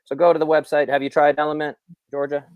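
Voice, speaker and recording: A man hosting a podcast who makes relaxed, friendly small talk and reads a sponsor message. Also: on the high side, fast, dry recording, narrow, tinny audio. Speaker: podcast host. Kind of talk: casual interview hosting with sponsor read.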